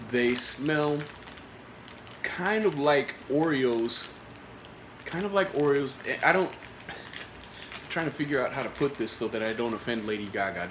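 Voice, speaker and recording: Rambling man reviewing snacks, roomy echo. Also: fairly high pitch. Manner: rambling, many filler words and restarts. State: recovering from a cold. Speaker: food reviewer. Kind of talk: casual product review vlog. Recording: echoey room with faint noise.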